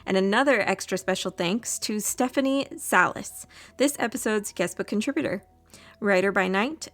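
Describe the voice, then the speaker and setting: A woman hosting a podcast, reading scripted closing announcements and credits. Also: medium pitch. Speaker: podcast writer, reader and host.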